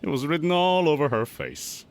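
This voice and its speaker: A man's voice: a little high, normal speed, close to an everyday voice. A man voicing a friendly, mild middle-aged village father in an anime game, easygoing and chatty. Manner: easygoing, warm-hearted, chatty.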